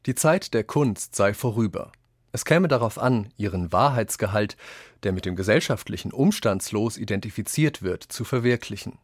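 A man reads literary quotations for a radio essay, with deliberate, declamatory phrasing. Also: on the low side, animated, no echo, studio quality. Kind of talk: scripted literary reading.

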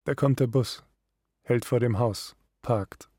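A man's voice: mid-range voice, slow pace, dark tone, husky, no echo, studio quality. A man narrating and playing a reserved, plain-spoken bus driver in a radio drama. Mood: matter-of-fact. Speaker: actor.

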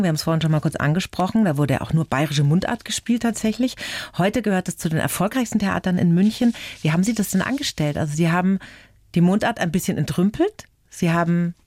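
A woman's voice: on the low side, no echo. 50s woman hosting a chat show interview, friendly questions, dry sound no room. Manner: friendly, affirming, steady flow of questions. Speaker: interview host.

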